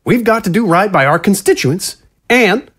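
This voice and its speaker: Glad-handing political insider, high-pitched and very animated, folksy, smooth, for audio drama. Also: male voice, moderate pace, heavily acted. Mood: persuasive, insistent. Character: slick, glad-handing male conservative politician.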